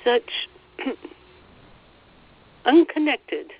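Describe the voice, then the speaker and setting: Woman, meditation teacher, very slow gentle delivery, some throat clearing, dull lo-fi recording. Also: sits high, very animated. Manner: gentle, soothing, repeats phrases. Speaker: spiritual teacher leading a meditation. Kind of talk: guided meditation, relaying a guide's words.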